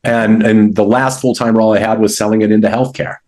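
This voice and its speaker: A man's voice: on the low side, little pitch movement, fairly quick, a little mellow. A man in his fifties telling his career story in an interview, acting out the remarks of people he quotes as he goes. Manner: anecdotal, acts out quoted dialogue. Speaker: sales entrepreneur.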